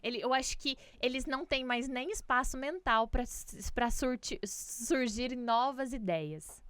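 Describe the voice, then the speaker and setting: Female Brazilian podcast guest, higher register, casual but emphatic opinions, dry and close recording. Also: measured pace, fairly bright tone, clear, resonant tone, no room sound.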